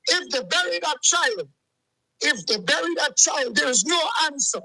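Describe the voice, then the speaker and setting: A man's voice: very high-pitched, big pitch swings, moderate pace, creaky, pushed and bright, no echo, low-quality recording. A man, calling in to a show and ranting heatedly, repeating himself. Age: 40s. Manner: agitated, repetitive, keeps saying "let me tell you something". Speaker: caller. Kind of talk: heated call-in rant.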